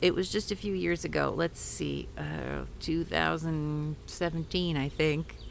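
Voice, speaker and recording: Wry ex-professor type, low gravelly voice, dry and bossy, a woman playing her. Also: lively intonation, unhurried, slight background noise, full character voice. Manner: dry, teasing, takes charge, swears casually. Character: wry, self-assured disgraced former woman professor. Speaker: historical society leader. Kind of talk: scripted audio roleplay.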